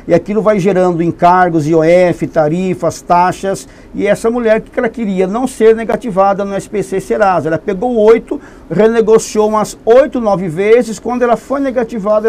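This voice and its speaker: A man with a high, mellow voice speaks in a TV studio. He is a consumer protection representative who explains things patiently and leans hard on key points, with a faint hiss of noise behind him. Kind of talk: studio interview answers.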